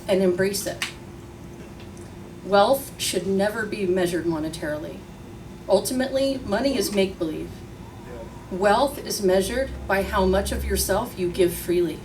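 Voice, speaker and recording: A woman reading a letter aloud at a memorial gathering, giving short listed phrases with pauses between them. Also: medium pitch. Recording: distant mic, noisy crowded venue.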